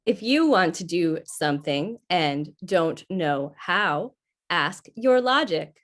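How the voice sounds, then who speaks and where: A woman playing a calm, helpful but unfeeling computer in a measured announcer style for 1950s radio sci-fi. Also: lowish voice. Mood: clinical. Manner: measured, impassive announcer delivery.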